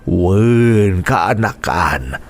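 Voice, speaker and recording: A man's voice: low voice, animated, drawn-out words, heavily acted. A man playing a kindly older uncle in a radio drama, speaking in a fatherly, reassuring way.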